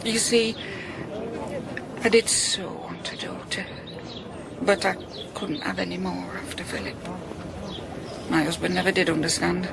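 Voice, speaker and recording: A woman's voice: lowish voice, steady tone, unhurried, creaky, pauses often, background noise. An actress playing a grieving, plain-spoken middle-aged working-class mother in a radio drama, sorrowful and wistful.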